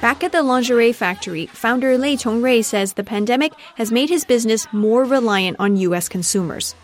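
A woman correspondent narrating a news report in a crisp, measured way. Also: fairly high pitch.

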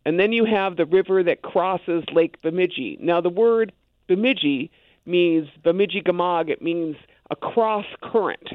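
A man's voice: high voice, measured pace, dry recording. A man speaking as a guest on a radio show, loose and conversational, with frequent asides. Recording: tinny phone line.

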